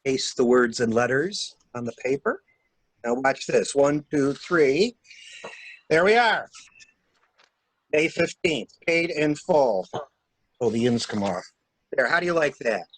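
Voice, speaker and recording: A man in an amateur mystery drama reading, playing a cool-headed gentleman stranger who briskly and wryly takes charge of a cover-up. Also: mid-range voice, expressive, breathy edge, exaggerated voice, low-quality recording. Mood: jaunty, absorbed.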